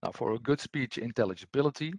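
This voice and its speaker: A man giving an explanatory technical presentation as a webinar trainer, speaking in a hesitant, halting way. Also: medium pitch, animated, gravelly, darkish tone, dry recording.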